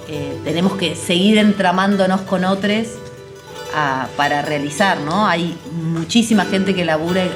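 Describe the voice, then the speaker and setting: An Argentine woman talking reflectively and conversationally about a creative project, often halting as she searches for words. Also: fairly low pitch. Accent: Argentine Spanish. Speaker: theater artist and educator. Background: music behind the voice.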